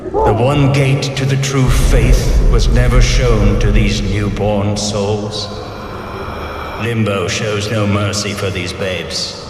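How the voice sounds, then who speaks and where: An actor playing a solemn, learned guide through hell, narrating in a stately, formal and measured way. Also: male voice, fairly low pitch, steady tone. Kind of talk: scripted dramatic narration. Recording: long cavernous reverb, music behind.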